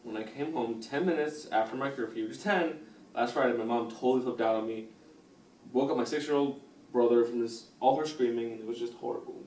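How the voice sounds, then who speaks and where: A man's voice: mid-range voice, brisk, darkish tone, natural voice. A man playing a defensive sixteen-year-old son in family therapy, in an amateur role-play. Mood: aggrieved, complaining. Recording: roomy, distant mic with faint noise.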